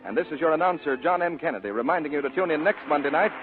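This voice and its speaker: A man's voice: on the high side, animated, racing. An American man announcing from a script in 1940s radio style. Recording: muffled old radio with faint music, audience.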